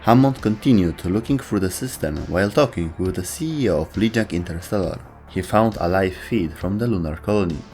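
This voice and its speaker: Male narrator, fairly deep voice, scripted lore-show delivery, music softly underneath. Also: a little fast, few pauses. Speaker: host of a video game lore show.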